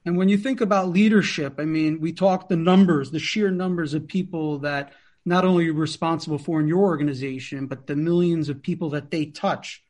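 A man's voice: fairly high pitch, fairly even intonation, a little fast, darkish tone. A man interviewing a guest, asking questions in an admiring, conversational way.

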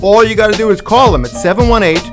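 A man's voice: high-pitched, quick pace, music in the background. A man in his fifties hosting a radio show, bantering and reading ads with wry, joking asides. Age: 50s. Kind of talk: bantering radio talk and live ad reads.

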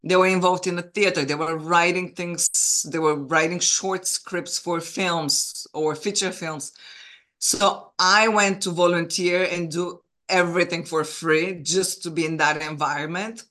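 Bulgarian-accented woman guest, bright forceful voice, tells her story eagerly. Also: on the low side, fairly even intonation, measured pace. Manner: earnest, enthusiastic storytelling with small restarts. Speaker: guest, film producer. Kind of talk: interview conversation.

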